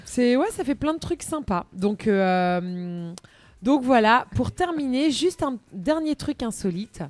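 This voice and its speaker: A woman presenting a chatty live radio magazine segment in a bubbly, playful and expressive manner. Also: on the high side, takes it slowly, no room sound.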